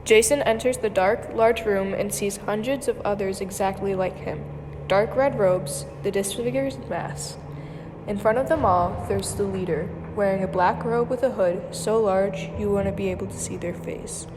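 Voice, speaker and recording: A woman's voice: mid-range voice, moderate pace, over music. Young woman narrating in a reverberant hall. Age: young adult. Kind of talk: scripted story narration. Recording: noisy hall with long reverb.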